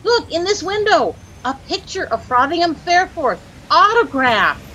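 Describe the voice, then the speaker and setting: Dazzled young American wife, high bright voice, breathless admiration, gentle scolding, vintage radio comedy. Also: female voice, big pitch swings, a little slow, exaggerated voice. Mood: gushing, admiring. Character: chirpy, easily dazzled young housewife, teasing her husband. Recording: noisy old-time radio recording.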